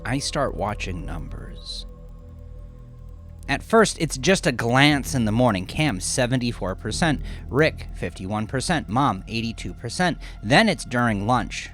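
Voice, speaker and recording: Male narrator, dramatic first-person horror. Confessional and brooding, widely varied pitch, clear music bed underneath. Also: very animated, unhurried. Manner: confessional, brooding, often hushed to a near-whisper. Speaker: author and narrator of horror story.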